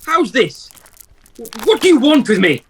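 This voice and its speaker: Male actor as miserly old man, radio drama, high-pitched, raspy husk, crabby, rattled, animated swings. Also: measured pace, slight background noise, heavily acted. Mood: defiant. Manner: crotchety, stammering when frightened. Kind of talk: amateur radio play acting.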